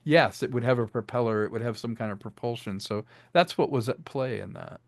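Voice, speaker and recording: A man's voice: mid-range voice, brisk, dry recording. A man speaking casually as an audio drama director, explaining his work with illustrative enthusiasm in an interview.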